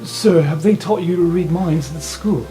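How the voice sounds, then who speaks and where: Man acting a hushed, deferential household servant. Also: fairly high pitch, a little slow, faint music behind, natural voice. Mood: discreet, proud. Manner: formal, hushed, careful.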